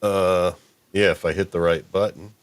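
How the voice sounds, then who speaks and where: A man's voice: low voice, fairly even intonation, moderate pace, clean tone, no room sound. A man hosting a casual, improvised livestream chat in a playful, rambling way. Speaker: show host.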